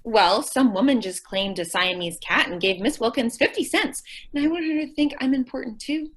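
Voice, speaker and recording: A woman's voice: a little high, normal speed, fairly bright tone. A woman playing a sweet, dim-witted young secretary in old-time radio comedy style, earnest, eager and naive. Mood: naive, chatty.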